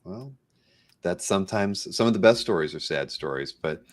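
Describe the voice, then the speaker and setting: A man's voice: sits low, lively intonation, normal speed. A man hosting a show in a casual, conversational interview style. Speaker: show host.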